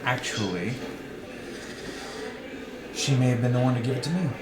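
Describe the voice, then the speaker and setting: A man acting a tipsy, sick friend in a novel reading, hesitant and deadpan as he feigns sobriety. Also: medium pitch, moderate pace, background noise, close to an everyday voice. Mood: wry, musing. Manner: hesitant, deadpan, earnestly straight-faced. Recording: echoey room.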